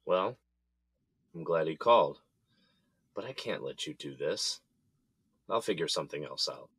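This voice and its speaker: A man's voice: a bass voice, animated, measured pace, a bit bright, husky, no echo, full character voice. An actor playing a troubled, subdued businessman in jail in an audio drama, speaking in a hesitant, downcast manner. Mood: reluctant. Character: troubled, subdued jailed businessman, adult man.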